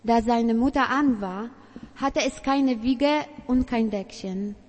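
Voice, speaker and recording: A woman from Poland gently reading a Christmas story aloud at church. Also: on the high side, slow, big-room sound. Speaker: church reader.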